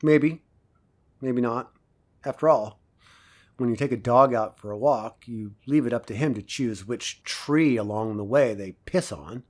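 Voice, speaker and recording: An actor playing a philosophical male professor lecturing a class in an audio drama, deliberate and rhetorical, with emphatic pauses. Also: mid-range voice, lightly acted. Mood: didactic.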